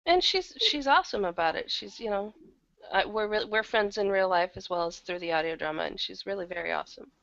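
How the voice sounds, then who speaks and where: A woman talks casually as a guest in an interview about her creative work. Her voice sits at a medium pitch and sounds dry and close, with a slightly dull, lo-fi edge. She is chatty and conversational, loosely organising her thoughts as she speaks. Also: expressive, brisk, a bit bright, no echo. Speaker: interview guest, audio drama producer and audiobook narrator.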